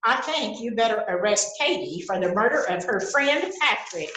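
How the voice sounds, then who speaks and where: A woman playing a shrewd, calm doctor in an amateur readers theater mystery, recorded with room echo. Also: a little high, normal speed, lightly acted. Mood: calm, probing. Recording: echoey room sound.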